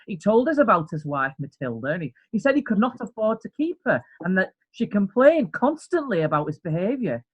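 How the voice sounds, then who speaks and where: A woman's voice: mid-range voice, sing-song, fairly quick, hardly any pauses, slightly rough, highs cut off. A woman playing a practical farmer husband in a period courtroom radio play, a little hesitant. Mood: earnest. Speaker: defendant.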